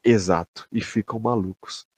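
Husky Brazilian man, casual podcast co-host. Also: fairly low pitch, normal speed, a little mellow, no room sound. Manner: slangy, addresses listener as buddy. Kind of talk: casual chat, theorizing.

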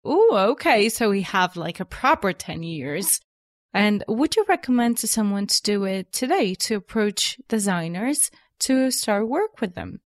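A woman's voice: medium pitch, big pitch swings, measured pace, no room sound, clean studio sound. A woman hosting a photography podcast, reading an upbeat intro and then interviewing a guest in an encouraging way. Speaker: podcast host.

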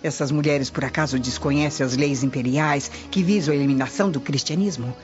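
A woman's voice: low voice, fairly quick, a little mellow, faint music behind, close to an everyday voice. Imperious scheming noblewoman played by an actress, dull audio. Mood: scornful, plotting. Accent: Brazilian. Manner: imperious, theatrical. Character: scheming, haughty Roman noblewoman, disdainful and vengeful. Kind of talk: radio drama acting. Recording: dull lo-fi radio drama audio.

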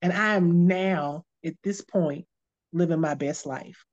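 A woman's voice: lowish voice, slow, a little mellow, dull audio. Earnest motivational woman in her fifties. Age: 50s. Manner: earnest and motivational, repeats phrases for emphasis. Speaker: health and life coach. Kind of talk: casual podcast chat.

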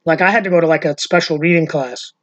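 A woman's voice: low voice, steady tone, quick pace. A woman hosting a loose, unscripted podcast conversation with her sister. She rambles from story to story, leaning on "like" and dropping casual swears. The recording sounds a little dull and lo-fi. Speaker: podcast host. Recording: dull lo-fi audio.

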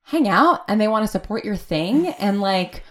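A woman's voice: medium pitch, animated, moderate pace, fairly bright tone. A woman, talking casually and effusively as an interview guest, rambling and repeating words for emphasis. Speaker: guest, audio fiction creator.

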